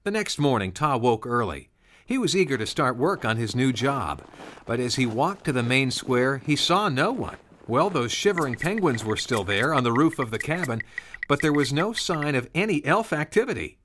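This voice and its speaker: Male narrator, scripted storybook read, mid pitch, noisy recording with clicks. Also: big pitch swings, normal speed, a bit bright.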